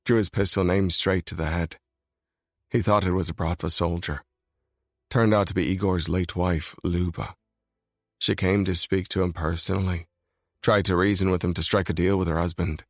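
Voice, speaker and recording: Guy doing a brooding mafia boss, super deep gravelly voice, grim and controlled. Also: steady tone, moderate pace, no room sound, studio quality. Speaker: male lead voice in audiobook. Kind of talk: scripted audiobook reading.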